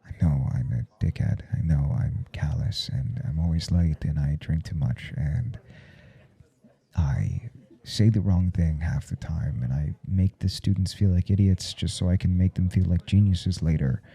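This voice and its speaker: A man playing a blunt, intimate professor in an ASMR audio roleplay, speaking in a halting, confiding way with a teasing, domineering edge. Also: exaggerated voice. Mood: earnest, vulnerable. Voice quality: low, gravelly, soft and dark. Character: adult male professor, intimate, callous, earnest, teasingly domineering.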